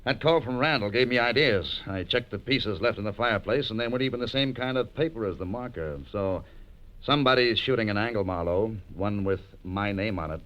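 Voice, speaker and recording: A man's voice: lowish voice, fairly quick, fairly bright tone, close to an everyday voice. An American actor playing a cold, menacing male crime boss in a 1940s radio drama, curt and coolly threatening. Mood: guarded, irritated. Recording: muffled old radio drama recording.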